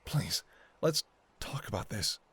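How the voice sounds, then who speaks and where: A man's voice: fairly low pitch, expressive, slow pace, pushed and bright, breathy edge, exaggerated voice. A man playing a devoted, anxious doctor talking to a lover in an intimate ASMR audio roleplay, with a hesitant, emotionally raw delivery. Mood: pleading.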